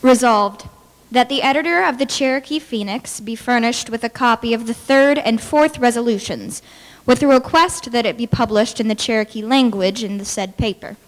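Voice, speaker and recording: A young adult woman giving a formal, deliberate reading of historical documents. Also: fairly high pitch. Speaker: dramatic reader. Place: large brick-walled hall with stage, indoors. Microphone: podium microphone. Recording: reverberant large hall.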